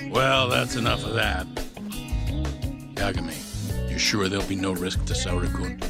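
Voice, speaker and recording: An actor playing a gruff, skeptical older boss in an English-dubbed video game cutscene, blunt, with a gravelly voice. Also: male voice, low-pitched, unhurried. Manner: blunt, dismissive. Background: loud background music.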